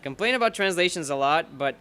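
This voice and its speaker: Young man, brightish higher voice, rambling profane let's-play chatter. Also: lively intonation, a little fast, clean tone. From Bulgaria. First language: Bulgarian. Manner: rambling and profane, curses at the game. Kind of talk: rambling casual commentary while gaming.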